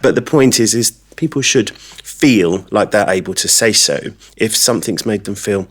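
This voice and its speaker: A man from England, a training company director, answering interview questions reflectively with many pauses. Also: fairly low pitch. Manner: reflective, many fillers and restarts.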